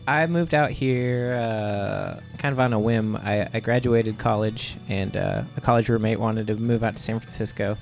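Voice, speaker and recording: A man from Ohio, a comic artist guest, giving relaxed, rambling interview answers with lots of "uh"s. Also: fairly low pitch, moderate pace, creaky, hardly any pauses, soft background music. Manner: laid-back, rambling with many "uh"s.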